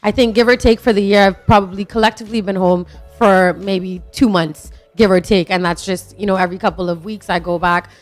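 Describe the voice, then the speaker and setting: A woman's voice: mid-range voice, fairly even intonation, brisk, few pauses, soft background music. Chatty woman, laughing. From Trinidad. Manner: chatty, laughs often, many fillers. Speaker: interview guest. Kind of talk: casual interview chat.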